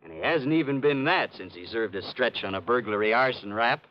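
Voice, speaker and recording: A man's voice: medium pitch, a little fast, slight background noise, lightly acted. A man playing a brash, dismissive American police sergeant in 1950s radio drama style, slangy and impatient. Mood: dismissive, explaining. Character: gruff, dismissive, know-it-all male police sergeant. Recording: dull vintage radio recording.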